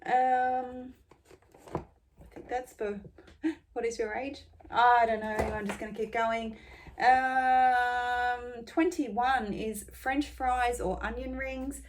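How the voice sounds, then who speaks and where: An Australian woman in her forties answering tag questions in a casual, rambling way, with many ums and pauses. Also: a little high, fairly even intonation. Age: 40s. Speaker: beauty vlogger.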